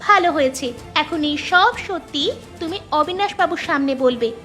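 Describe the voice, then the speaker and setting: বাংলা কার্টুনে খুব চড়া গলার জেদি গ্রামের মেয়ে এক মহিলার অভিনয়ে. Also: female voice, very high-pitched, expressive, normal speed, a bit bright. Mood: stern. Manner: firm, insistent. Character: determined, crafty young village girl seeking justice. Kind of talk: Bengali cartoon voice acting. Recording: music behind the voice.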